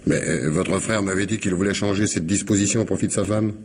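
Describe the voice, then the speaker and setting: An actor playing a polite but insistent police commissioner in a radio mystery, questioning deliberately with hesitations and repeated words. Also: male voice, sits low, fairly even intonation, darkish tone, slight background noise. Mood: insistent, sly. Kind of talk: French radio mystery drama acting.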